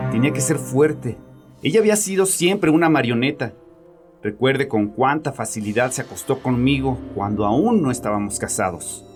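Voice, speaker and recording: A man's voice: medium pitch, lightly acted. A man playing a bitter, domineering grieving father who confides in a therapist with agitated, sarcastic arrogance in a horror audio drama. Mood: angry, defensive.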